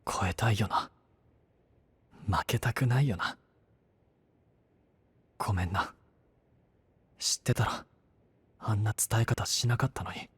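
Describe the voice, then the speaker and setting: An actor playing a brash, troubled young male knight in an anime voice drama, speaking roughly and emotionally. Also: fairly low pitch, takes it slowly, pauses often, heavily acted. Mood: hushed remorse. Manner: rough casual speech, emotional. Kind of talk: Japanese anime voice drama acting.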